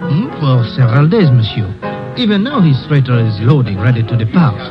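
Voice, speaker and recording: An actor playing an obliging, hesitant French bartender with a French accent in a 1950s radio drama. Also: male voice, medium pitch, big pitch swings, fairly quick, close to an everyday voice. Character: obliging male French-speaking waterfront bartender. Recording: muffled old radio recording, music behind.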